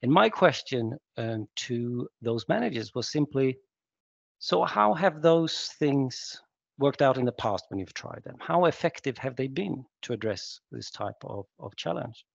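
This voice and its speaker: A man from Sweden giving a webinar talk as guest speaker on a video call, hesitant and reflective with many pauses. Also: mid-range voice, animated, vocal fry, no room sound. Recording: dull lo-fi call audio.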